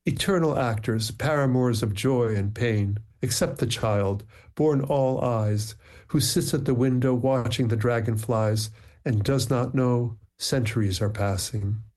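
A man whose first language is Ukrainian, a poet speaking thoughtfully and reflectively in measured phrases as an interview guest. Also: medium pitch, darkish tone. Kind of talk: thoughtful conversation and poetry reading.

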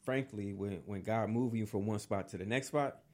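A man's voice: lowish voice, moderate pace, a little mellow. A man hosting a casual chat, reflective and affirming, who draws out his phrases.

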